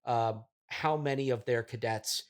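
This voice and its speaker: A man playing a pompous, earnest tech startup founder who explains haltingly and chokes up easily, in a deadpan improvised mock interview. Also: mid-range voice, lightly acted. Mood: proud, explaining. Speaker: podcast guest playing a character.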